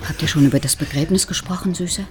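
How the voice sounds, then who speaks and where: An actress playing a caring, coaxing fitness trainer in an audio drama. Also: female voice, low-pitched, quick pace, lightly acted. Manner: solicitous, coaxing, affectionate. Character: motherly, soothing fitness trainer. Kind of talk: naturalistic audio drama acting.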